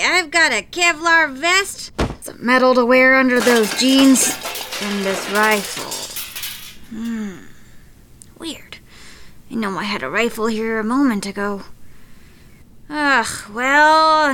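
A woman playing a brisk, motherly weapons dealer in an audio drama, with a teasing, no-nonsense manner. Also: high-pitched, bright, forceful tone, full character voice.

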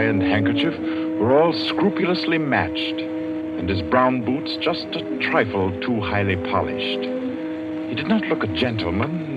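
A man announcing and narrating a 1940s radio drama in a formal, theatrical way. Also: fairly low pitch. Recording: dull lo-fi old radio recording with music.

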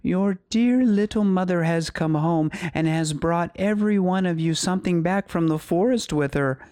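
A man voicing a menacing storybook wolf who imitates a sweet mother goat in a coaxing falsetto. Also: moderate pace, a little mellow, clean tone, no room sound, studio quality, lightly acted. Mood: sweetly coaxing. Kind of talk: storybook character voice.

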